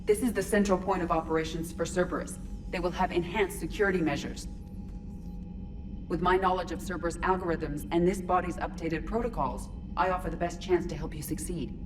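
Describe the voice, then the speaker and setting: A woman voicing a calm, loyal artificial intelligence in a robot body for a video game, speaking in formal, precise phrases. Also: fairly low pitch, a little fast, music in the background, close to an everyday voice. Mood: calm, resolute.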